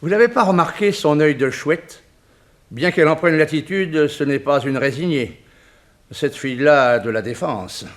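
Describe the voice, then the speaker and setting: An actor playing a shrewd, dry examining magistrate in a 1960s French radio drama, speaking formally and with authority. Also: male voice, fairly high pitch, normal speed, fairly bright tone, lightly acted. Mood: dry, brisk.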